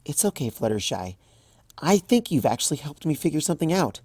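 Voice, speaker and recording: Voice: mid-range voice, very animated, a little fast, a little mellow, husky, dry recording, voice pushed up. A fanfic reader voicing an anxious, earnest young female unicorn pony. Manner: earnest, worried.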